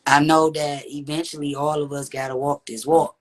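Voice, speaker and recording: Woman w a deep raspy low voice, earnest podcast guest talking it through, lots of "you know what i'm saying". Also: level tone, moderate pace. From Texas. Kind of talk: candid conversational interview.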